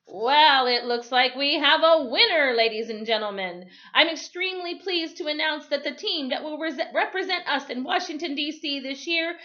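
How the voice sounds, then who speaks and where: A woman voicing a formal male TV quiz host with deliberate announcing, in a storybook reading. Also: high-pitched, sharp tone, dull audio, voice pushed up. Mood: excited announcing.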